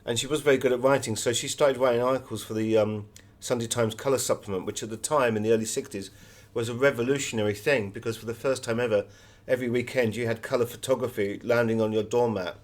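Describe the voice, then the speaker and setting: A man's voice: mid-range voice, steady tone, fast, hardly any pauses. A man giving conversational, anecdotal answers as an author being interviewed.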